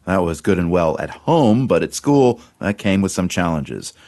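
Deep-voiced male radio host. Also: sing-song, moderate pace, darkish tone. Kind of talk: scripted radio introduction.

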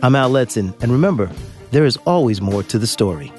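A man's voice: medium pitch, lively intonation, a little fast, a little mellow, soft background music. A man hosting a show, reading scripted closing credits in a playful, emphatic manner. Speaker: podcast host.

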